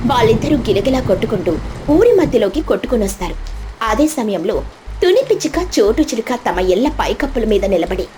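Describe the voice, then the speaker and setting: A woman reading a scripted children's story as storyteller, with dramatic, expressive delivery. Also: fairly high pitch, fairly quick, slight background noise. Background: faint background music.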